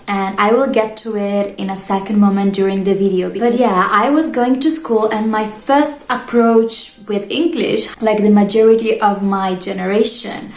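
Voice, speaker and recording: A woman's voice: medium pitch, a little mellow. Italian-accented woman chatting in an echoey room. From Italy. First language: Italian and Polish. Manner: chatty, earnest, fond of asides like "you know". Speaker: English teacher. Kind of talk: casual vlog monologue.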